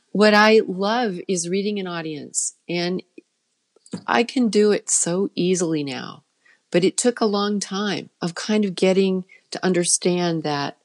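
A middle-aged woman with a soft voice, a children's musician chatting casually in an interview and often trailing off and restarting. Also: mid-range voice.

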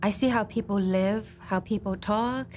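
A woman's voice: medium pitch, unhurried, a little mellow. A woman from China, telling her life story in a reflective, plainspoken way with small hesitations. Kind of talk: testimony interview. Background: background music.

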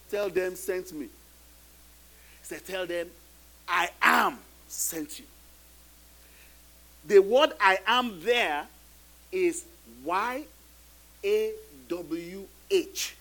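A man's voice: very high-pitched, a bit bright. A middle-aged man, a pastor whose first language is not English, preaching a sermon with emphatic, repeated phrases that build. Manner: preaching with rising emphatic repetitions.

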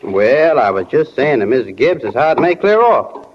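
Actor as lively-pitched rustic milkman. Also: moderate pace, close to an everyday voice. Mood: neighborly. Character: plain rural milkman, slow-witted and neighborly. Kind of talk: 1940s radio acting. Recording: muffled, noisy, echoing old radio recording.

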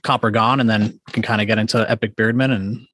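A man's voice: lowish voice, fairly even intonation, fast talker, fairly bright tone, no room sound. Easygoing interviewer man, dry sound. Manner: easygoing, laughs often, filler-heavy. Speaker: podcast host. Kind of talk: casual interview.

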